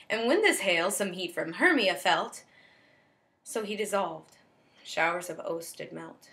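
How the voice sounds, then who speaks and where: A woman playing a lovesick, wounded young woman in a measured, declamatory Shakespearean stage monologue. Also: medium pitch, bright, forceful tone. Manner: declamatory, measured verse delivery. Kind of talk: theatrical Shakespearean verse monologue.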